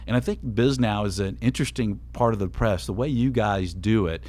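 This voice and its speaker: A man's voice: on the low side, brisk, darkish tone. A man hosting a radio talk show, upbeat and chatty, who laughs easily with his guests.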